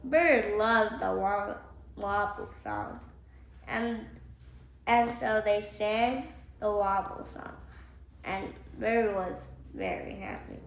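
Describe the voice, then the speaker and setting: Woman storybook reader, slow and halting, slightly high voice, echoey room. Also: fairly even intonation, creaky. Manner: halting, stumbling over words and rereading. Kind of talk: read-aloud children's story. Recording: echoey room, faint background noise.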